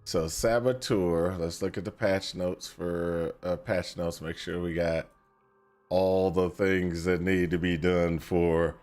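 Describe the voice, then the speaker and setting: A man's voice: low-pitched, level tone, slow, darkish tone, soft background music. A young man streaming a video game, talking casually with many fillers and restarts while sniffling from a cold. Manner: rambling, lots of fillers and restarts. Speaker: gaming streamer. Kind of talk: casual gameplay talk.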